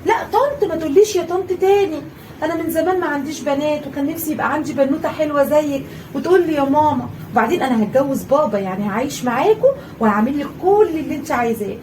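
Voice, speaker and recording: A woman's voice: high voice, lively intonation, racing, a little mellow, a little hiss or hum. Woman playing a fussy Egyptian stepmother. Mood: sweet. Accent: Egyptian Arabic. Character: fussy stepmother, doting then scolding. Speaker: actor in a family skit. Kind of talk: home-made family skit. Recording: voice a little far from the mic.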